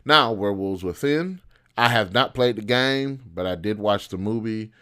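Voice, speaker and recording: A man with a fairly low voice hosts a casual commentary video. He speaks informally and bluntly, giving his opinions in loose, slangy language. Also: normal speed, pushed and bright, clear, resonant tone. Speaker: video host.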